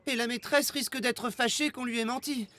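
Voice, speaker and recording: A woman as high-voiced, timid cartoon schoolboy. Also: steady tone, a little fast, a crowd in the background, natural voice. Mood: worried, apologetic. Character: timid, clumsy, apologetic schoolboy. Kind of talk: animated cartoon dialogue. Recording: music under the dialogue.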